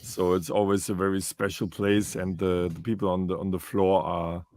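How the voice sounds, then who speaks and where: A man's voice: low-pitched, level tone, moderate pace, darkish tone, no room sound. A man co-hosting a podcast, asking the guest short, polite questions. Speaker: podcast co-host. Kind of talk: interview chat.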